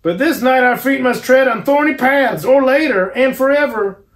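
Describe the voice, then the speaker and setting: A man voicing a grave, solemn old professor who pleads in slow, formal and earnest speech. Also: very high-pitched, voice pushed up. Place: garage.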